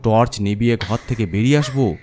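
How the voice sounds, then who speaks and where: A man's voice: mid-range voice, fairly quick. A man narrating a first-person horror story in a theatrical, suspenseful way. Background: music.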